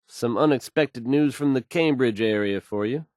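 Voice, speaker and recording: A man's voice: medium pitch, moderate pace, darkish tone, no room sound, studio quality, lightly acted. A man playing an assured, folksy radio DJ in a video game, delivering news bulletins to listeners with fluent, polished ease. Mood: upbeat, confident.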